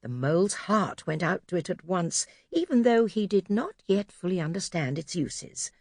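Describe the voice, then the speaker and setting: A woman's voice: on the low side, animated, normal speed, a little mellow, no echo. A woman narrating a children's audiobook expressively from a script, close to the microphone. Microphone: close studio microphone.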